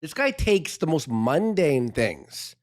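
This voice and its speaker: A man's voice: fairly high pitch, wildly swooping pitch, takes it slowly. A man co-hosting a commentary show who laughs often and mockingly imitates the streamer. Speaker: co-host.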